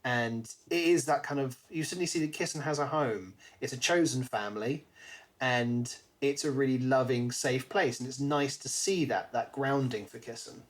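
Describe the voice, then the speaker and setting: Man, podcast co-host, mid-pitched voice, casual book discussion, chatty, thinking aloud. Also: moderate pace.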